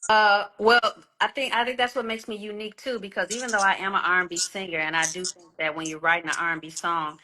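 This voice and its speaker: Bright-voiced woman singer in her thirties. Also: mid-range voice, steady tone, quick pace, hardly any pauses, poor audio. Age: 30s. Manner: candid, loose, swears freely, repeats words. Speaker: guest R&B singer and songwriter. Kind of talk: casual interview talk.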